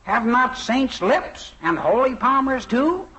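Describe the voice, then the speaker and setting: A man's voice: very high voice, very animated, takes it slowly, lo-fi sound, a crowd in the background, heavily acted. A young man playing a polite, earnest teenage boy in a 1950s radio sitcom, deferential and a little stiff. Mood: earnest reciting.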